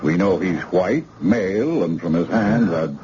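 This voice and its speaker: Brisk cocky doctor, commanding, lively lowish voice, hissy muffled radio recording. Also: male voice. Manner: brisk commanding orders, wry. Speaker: surgeon. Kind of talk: 1950s radio drama acting.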